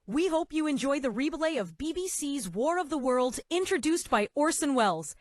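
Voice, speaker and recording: A high-voiced woman reads a scripted announcement as a presenter. Her pitch moves in a lively way, and the sound is dry. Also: normal speed, no echo.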